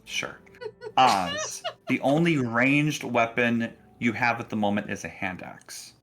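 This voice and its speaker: A man acting as game master, narrating casually and haltingly with frequent pauses. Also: medium pitch, fairly bright tone, faint music behind. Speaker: game master of a tabletop role-playing game.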